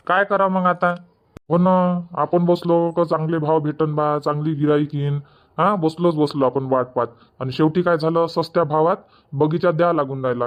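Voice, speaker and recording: A man's voice: a little high, lightly acted. A man playing plain-spoken village orange farmers in a cartoon comedy, chatting colloquially with a Varhadi Marathi accent from Vidarbha. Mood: chatty. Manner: colloquial, repetitive rustic chatter. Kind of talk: dubbed cartoon comedy dialogue. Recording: dull lo-fi audio.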